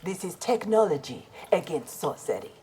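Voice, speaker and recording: A proud armoured ninja speaks low with a Nigerian accent. The lines are formal, measured and defiant, in video game style, over slight noise. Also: female voice, big pitch swings, dark tone. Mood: stern, defiant. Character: proud, principled armoured female ninja warrior. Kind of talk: video game fight dialogue.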